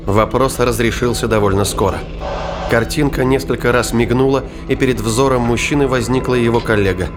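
A man's voice: medium pitch, fairly even intonation, brisk. A man narrating a science fiction thriller in a dramatic, scripted storytelling style. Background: music.

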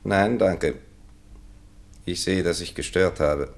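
An actor playing a coldly polite, menacing wronged husband in a radio play, formal and sardonic. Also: male voice, deep voice, slow pace, gravelly, full character voice. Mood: icily polite. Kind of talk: German-language radio play acting.

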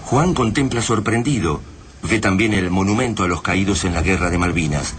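An actor with an Argentine accent narrating a radio drama war epic in a grave, literary manner. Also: male voice, normal speed, close to an everyday voice. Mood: grave, somber. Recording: noisy rumbling battle ambience behind the voice.

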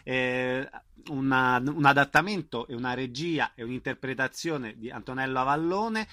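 A man's voice: mid-range voice, normal speed, bright, forceful tone, no echo. A man interviewing a guest informally, listening attentively and putting in frequent short acknowledgements. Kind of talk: informal radio-style interview.

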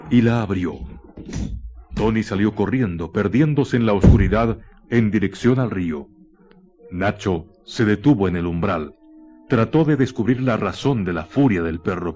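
Slow, low-voiced man narrating suspense. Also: darkish tone. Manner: deliberate, suspenseful, with weighted pauses. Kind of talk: dramatic scripted radio horror narration. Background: faint music.

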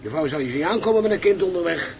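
A man's voice: fairly high pitch, expressive, fast talker, vocal fry, slight background noise. An actor playing a frank, plain-spoken seaman in a radio play. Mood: dismayed.

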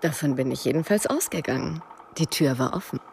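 A woman's voice: low-pitched, animated, fairly quick, creaky, a little mellow, a little hiss or hum. An actress playing a cool, self-possessed, teasing woman in a German radio drama. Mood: coolly teasing.

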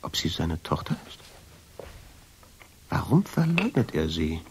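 An actor playing a weary, intrigued male traveler, telling his story as a radio drama narrator in a measured, brooding way. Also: low-pitched, wildly swooping pitch, creaky, background noise, full character voice. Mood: musing. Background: music.

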